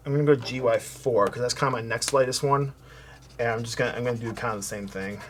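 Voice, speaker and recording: A man hosting a coloring tutorial, talking casually and softly as he works, thinking aloud with fillers and restarts. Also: mid-range voice, fast talker, a little hiss or hum. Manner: relaxed, thinking aloud while working, with fillers and self-corrections; soft-spoken, hoping to sound calming. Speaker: art tutorial host.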